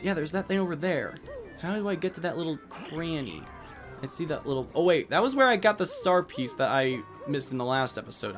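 A man hosting a let's play with casual video game commentary, tossing in jokey, self-deprecating asides. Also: mid-range voice. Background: video game music.

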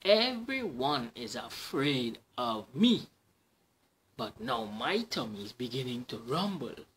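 A woman voicing a tiny, clever, boastful male mouse in a squeaky, bright voice. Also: sing-song, slow pace, slight background noise, full character voice.

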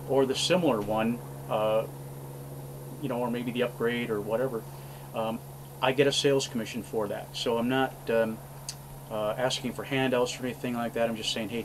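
An older man in his sixties, chatting casually to camera from an outdoor cabin porch in a rambling, folksy way with plenty of fillers. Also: medium pitch. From Alaska. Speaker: homesteading vlogger. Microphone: camera mic at close range. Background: faint outdoor noise, passing airplane.

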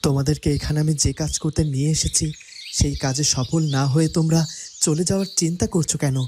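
Man doing a young prince, firm, insistent, fast talker, mellow. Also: on the high side, steady tone, slight background noise, close to an everyday voice. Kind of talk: cartoon dubbing.

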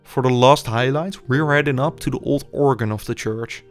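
A man's voice: mid-range voice, faint music behind. Young man narrating a documentary. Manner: measured, explanatory delivery. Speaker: urban explorer narrator.

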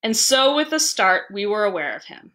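A woman reading a story aloud as narrator, with deliberate, theatrical phrasing. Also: mid-range voice, sing-song, bright, forceful tone. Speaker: library staff reader.

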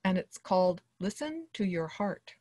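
A woman in her sixties reads a personal text aloud as a guest reader. Her voice sits slightly low for a woman. The sound is dry, with very little room in it, coming through a video call. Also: big pitch swings, normal speed, a little mellow, dry recording. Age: 60s. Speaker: history professor, guest reader. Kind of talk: introduction and reading of a personal monologue aloud. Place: small dim wood-walled room indoors.

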